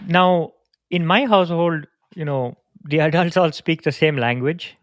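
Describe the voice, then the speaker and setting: A man's voice: fairly high pitch, unhurried, no echo, studio quality. Pria santai bercerita, rekaman kering. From India. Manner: reflective, storytelling. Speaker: podcast co-host. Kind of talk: casual conversation.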